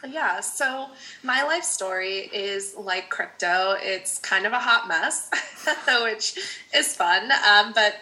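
A woman's voice: mid-range voice, lively intonation, slow, sharp tone, a little hiss or hum, rough recording. A woman in her thirties, a native Dutch speaker raised in the Netherlands, chatting candidly as a podcast guest and laughing often. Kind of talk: casual podcast interview.

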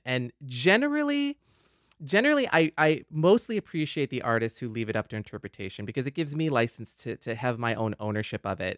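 A man in a casual panel discussion, explaining his view in a reflective, measured way. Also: mid-range voice, a bit bright, dry recording, clean studio sound. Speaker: podcast panelist.

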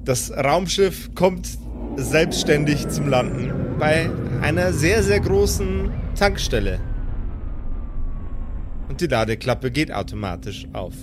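A man's voice: a little high, animated, slow, background noise. A man narrating an improvised audio drama as game master in a dramatic storytelling style.

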